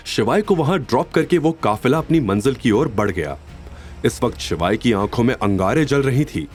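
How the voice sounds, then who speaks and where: A man delivers dramatic scripted story narration. His mid-pitched voice moves widely in tone, with soft music behind it. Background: background music.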